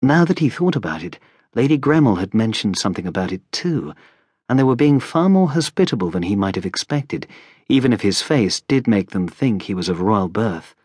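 A man's voice: lowish voice, sing-song, normal speed, darkish tone, slightly rough, dry recording, clean studio sound. A man reading scripted fantasy narration as an audiobook narrator.

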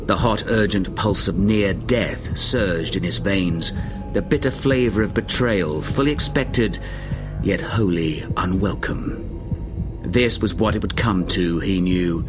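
A man's voice: deep voice, takes it slowly. A man reading dramatic fiction as an audiobook narrator, with a grave, intense and theatrical delivery. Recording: music behind the voice. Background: music.

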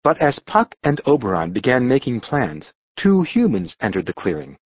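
Male audiobook narrator, husky, lively intonation, dramatic storytelling, dry close sound, slightly dull recording. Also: medium pitch, normal speed, dry recording.

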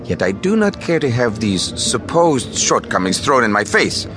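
An actor playing a languid, haughty young aristocrat in his twenties in an audio drama, condescending, fastidious and world-weary. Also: medium pitch, very animated, over music, natural voice. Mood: offended hauteur. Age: 20s. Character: languid, haughty young aristocratic caballero, foppish and easily bored.

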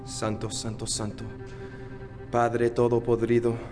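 An actor playing an idealistic, brooding Chicano lawyer in an audio drama, declaiming poetically. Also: male voice, medium pitch, completely flat delivery, a little slow, over music, lightly acted. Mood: brooding, bitter. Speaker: lead actor and narrator. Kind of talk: theatrical audio drama acting.

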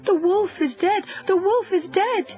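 Woman voicing little high-pitched goat kid. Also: a little slow, dull audio, faint music behind. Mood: jubilant. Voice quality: soft, childlike. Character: frightened young goat kids, small and excitable.

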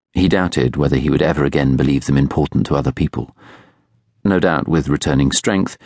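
A British man reading literary fiction as an audiobook narrator, in a measured, reflective way. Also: extremely low pitch, no echo, clean studio sound. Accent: British English. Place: studio.

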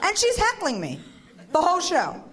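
A woman in her forties doing a stand-up comedy routine on stage, animated, shouting her punchlines and slipping into voices. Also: very high-pitched, moderate pace. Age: 40s. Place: comedy stage before a live audience. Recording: live show with loud crowd and laughter.

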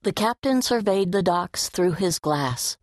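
A woman's voice: on the low side, animated, measured pace, no echo, studio quality. A woman reading scripted story narration for an audiobook.

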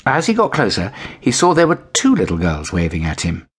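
A male audiobook narrator reads a children's story expressively. His voice is low and husky, with very animated rises and falls in pitch. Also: brisk.

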